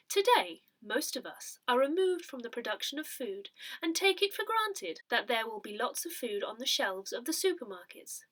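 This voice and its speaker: A woman reading a scripted newsletter aloud in a measured, deliberate way. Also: on the high side, fairly bright tone. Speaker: newsletter reader.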